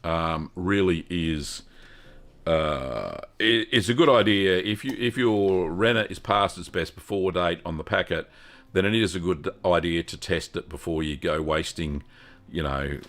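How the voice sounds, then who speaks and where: Deep-voiced older man. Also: normal speed, fairly bright tone. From Australia. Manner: rambling, friendly, lots of ums. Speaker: host answering cheese-making questions. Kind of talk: live-stream Q&A chat.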